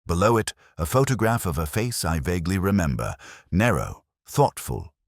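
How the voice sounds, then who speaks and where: A man playing a melancholy middle-aged English police detective, narrating in a measured, literary and wistful way as if from a notebook. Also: low voice, no room sound, studio quality, full character voice. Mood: wry melancholy. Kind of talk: scripted first-person dramatic narration.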